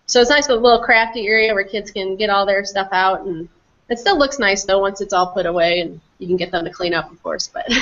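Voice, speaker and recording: A woman's voice: mid-range voice, fairly quick, a bit bright. Woman explaining casually, dull call audio. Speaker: company quality executive, interview guest. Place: small office room, indoors. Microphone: computer mic on a video call.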